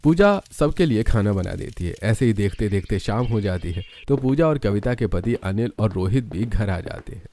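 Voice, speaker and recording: A man's voice: lowish voice, animated, moderate pace, hardly any pauses, dark tone, slight background noise. A man narrating a scripted story as its narrator.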